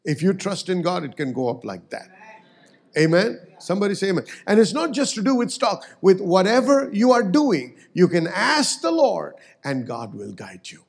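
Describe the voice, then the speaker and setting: Gravelly, lively preaching pastor. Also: male voice, high-pitched, moderate pace. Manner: emphatic preaching, swelling on key words. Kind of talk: sermon.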